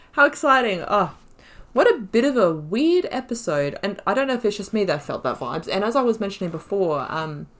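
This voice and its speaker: A woman's voice: mid-range voice, fairly bright tone. A woman giving casual, reflective game commentary, chatty and rambling as she thinks aloud, repeating and stressing words. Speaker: host of a gaming playthrough series.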